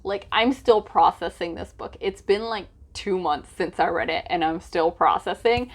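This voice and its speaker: A woman's voice: fairly low pitch, moderate pace. A woman talking casually as a book vlogger, informal and gushing, with lots of filler asides. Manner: informal, hesitant with frequent "like" asides, gushing emphasis. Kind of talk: casual chatty book vlog.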